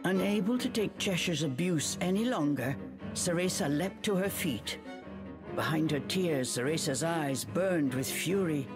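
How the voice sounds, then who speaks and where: A woman playing a storybook narrator in a video game, measured and dramatic. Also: low voice, animated, long reverb tail, over music.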